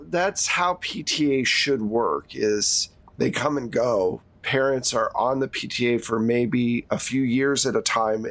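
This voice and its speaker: A man playing a weary school principal in their sixties, frank and gently exasperated, talking haltingly in a tabletop roleplay. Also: on the low side, no echo. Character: weary sixty-two-year-old school principal nearing retirement, frank.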